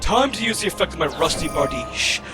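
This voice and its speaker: A man's voice: a little high, sing-song, a little fast. A man playing a scornful villainous duelist in an anime-style card battle roleplay, declaiming dramatically and formally. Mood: commanding, confident. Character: theatrical villainous duelist, scornful rival of a Chosen One. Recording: voice over loud background music.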